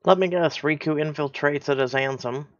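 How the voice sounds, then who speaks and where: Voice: medium pitch, moderate pace, dull audio. A casual commentator reacting to game cutscenes in a blunt, irreverent, sweary way.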